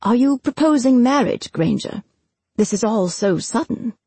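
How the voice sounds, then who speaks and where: A woman voicing a cool, sardonic young male lieutenant with a deadpan, controlled manner, as in an audiobook. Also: a little high, measured pace, slightly rough, no echo, pitched above the performer's own voice, exaggerated voice. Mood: mock-polite sarcasm.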